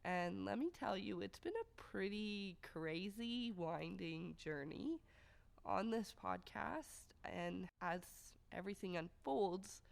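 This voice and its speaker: A woman co-hosting a casual podcast, her voice raspy from being sick, easygoing and laughing often. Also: mid-range voice, lively intonation, slow. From Canada. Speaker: podcast co-host.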